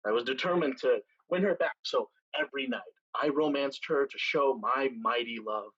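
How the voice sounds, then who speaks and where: A man plays a seasoned pirate captain with a husky voice, heard on a slightly muffled recording. He speaks solemnly and deliberately, leaving weighty pauses as in a stage drama, weary of command yet tender. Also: mid-range voice, a bit bright. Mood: rueful storytelling. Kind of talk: staged reading of a stage play.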